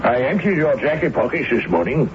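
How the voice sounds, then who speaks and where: An actor playing a deferential, dryly candid manservant with formal, polite manners in a 1970s radio drama. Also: male voice, medium pitch, big pitch swings, brisk, natural voice. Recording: muffled, noisy old radio broadcast recording.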